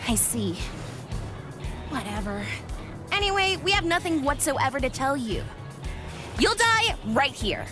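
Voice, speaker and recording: Brash insulting tomboy girl warrior, squeaky and loud, game cutscene with music. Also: big pitch swings, a little slow, pauses often. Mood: taunting. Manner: rude, shouty, insulting. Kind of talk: video game cutscene dub.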